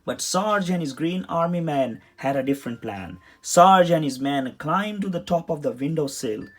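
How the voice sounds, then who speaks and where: A man's voice: a little high, animated, normal speed. A man narrating a children's storybook as a read-aloud. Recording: music behind the voice.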